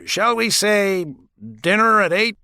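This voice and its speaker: A man voicing a courteous, suave male president with deliberate, polite delivery in an audiobook. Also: sits high, fairly even intonation, vocal fry, bright, forceful tone, smooth, no echo, clean studio sound, voice pushed up, exaggerated voice. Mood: smoothly hospitable. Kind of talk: audiobook character dialogue.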